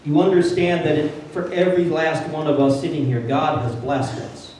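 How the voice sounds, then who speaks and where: An older man preaches in a plainspoken, conversational way, his voice sitting a little high for a man. He is heard from a distance through a handheld mic in a very echoey church sanctuary, with a faint hum of room sound underneath. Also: fairly even intonation, moderate pace, darkish tone. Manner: plainspoken and conversational, building to urgent emphasis. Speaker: pastor. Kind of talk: sermon. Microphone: handheld mic, filmed from a distant camera.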